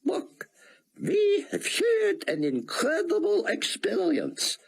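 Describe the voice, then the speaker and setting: A man's voice: very high voice, huge pitch swings, takes it slowly, vocal fry, pitched above the performer's own voice, full character voice. A man voicing an elderly rabbi with a thick Hebrew accent. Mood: warm, formal.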